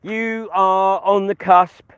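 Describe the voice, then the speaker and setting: A British man giving an informal political monologue to camera outdoors in a field, hesitant and wry, with emphatic pauses. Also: high voice, flat delivery, a bit bright, smooth, no room sound, highs cut off. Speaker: vlogger and political commentator. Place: outdoors in a farm field by a public footpath.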